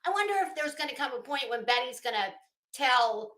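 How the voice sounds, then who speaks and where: A woman hosting a livestream, rambling casually in an animated, theatrical, self-mocking way. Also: sits high, a little fast. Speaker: livestream host. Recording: echoey, roomy sound.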